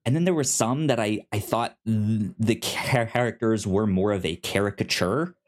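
A man with an American accent and a fairly low voice talks casually as a podcast co-host. He is halting and rambling, often stammering over words as he works through his thoughts. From United States. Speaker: podcast co-host reviewing audio fiction. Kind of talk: casual review discussion.

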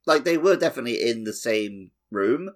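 A man's voice: mid-range voice, expressive, brisk. A man co-hosting a podcast, chatting casually and conversationally about his memories of playing a game. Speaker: podcast co-host. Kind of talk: casual chat about gaming.

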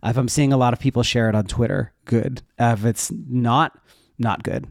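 A man gives an informal, explanatory answer as a guest in an interview. His pitch sits in the middle for a man. The sound is dry, with very little room around the voice. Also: steady tone, normal speed, creaky, dry recording. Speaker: interview guest, web developer and educator. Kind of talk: casual explanatory interview talk.

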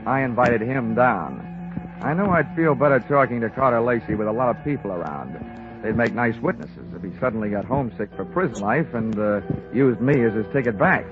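A man's voice: medium pitch, brisk, natural voice. Man acting American insurance detective, clipped, dry wit, old-time radio style, thin phone-like sound, musical backing. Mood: wry, narrating. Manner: clipped, deadpan, wisecracking. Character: wry, hard-boiled male insurance investigator narrating his case. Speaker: lead actor and narrator. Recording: band-limited vintage radio recording, music behind.

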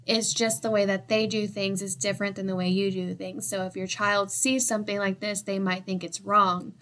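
A woman's voice: medium pitch, little pitch movement, normal speed, fairly bright tone. A young woman co-presenting in a loose, conversational way, often using "like" as filler. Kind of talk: casual discussion.

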